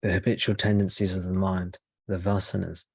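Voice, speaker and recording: A man speaking as a spiritual teacher leading a guided meditation, in slow, measured short phrases with pauses between them. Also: low voice, little pitch movement, gravelly, slightly rough, no room sound, studio quality.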